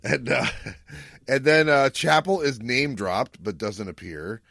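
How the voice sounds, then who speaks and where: A man's voice: medium pitch, slow pace, gravelly, a bit bright, dry recording, clean studio sound. A man hosting a podcast in casual chat, with a jokey, conversational manner. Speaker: podcast host.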